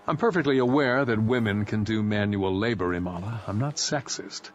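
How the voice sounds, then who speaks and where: A man's voice: on the low side, normal speed, dull audio, slight background noise, natural voice. A man doing a blunt, stubborn soldier. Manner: dismissive, matter-of-fact.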